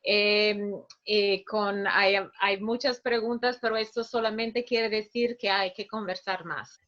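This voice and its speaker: Halting woman webinar host, dull online audio. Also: medium pitch, fairly even intonation, fairly bright tone. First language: not Spanish. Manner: halting, searching for words. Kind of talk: spontaneous closing remarks and thanks.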